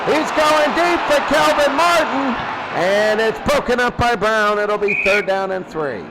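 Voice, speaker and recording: A man doing excitable play-by-play commentary of a football game, repeating phrases and drawing out his calls. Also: high voice, slight background noise, rough recording. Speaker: sports commentator.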